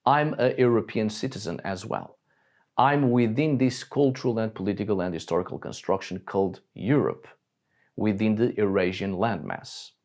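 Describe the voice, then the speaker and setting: A man's voice: lowish voice, normal speed, darkish tone. A man from Portugal giving a blunt, scripted video monologue, swearing now and then. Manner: blunt, swears when annoyed. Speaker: video essayist.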